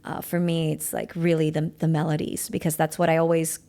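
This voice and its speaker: A young woman who speaks English as a second language after German, hosting a casual interview about songwriting in a chatty, animated way. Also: deep voice, a little fast. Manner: chatty, animated, often laughing. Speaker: podcast host.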